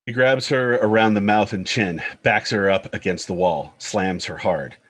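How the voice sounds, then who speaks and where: A man's voice: lowish voice, moderate pace, fairly bright tone. A man reading stage directions as the narrator of a screenplay table read.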